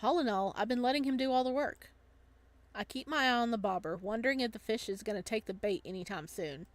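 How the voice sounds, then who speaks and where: A woman's voice: a little high, quick pace, no echo. Giggly woman streamer reading aloud. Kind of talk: casual playthrough reactions and read-aloud narration.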